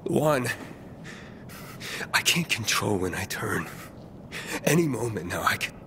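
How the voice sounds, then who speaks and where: An actor playing a guilt-ridden young male survivor in a video game, grave, halting and intense. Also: fairly low pitch, sing-song, background noise, exaggerated voice. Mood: anxious. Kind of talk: dramatic video game acting.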